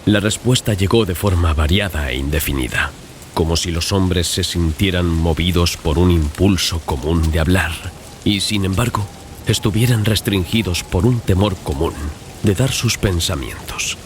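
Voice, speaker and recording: Low-voiced male horror narrator over ambience. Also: normal speed. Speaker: audiobook narrator. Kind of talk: scripted dramatic horror story narration. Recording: clear background ambience and noise. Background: sound-design ambience.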